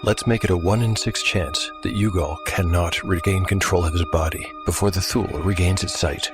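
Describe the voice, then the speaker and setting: A man's voice: deep voice, huge pitch swings, moderate pace, music in the background. A man narrating a tabletop role-playing game as a dramatic story, calling out the dice rolls in a theatrical way. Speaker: solo game narrator and player.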